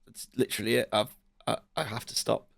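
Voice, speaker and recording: A man's voice: mid-range voice, fairly even intonation, fast, vocal fry, fairly bright tone, slightly rough, no room sound. A middle-aged man co-hosting a podcast, full of cold, talking in a rambling, self-deprecating way. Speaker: podcast co-host. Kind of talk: casual chat.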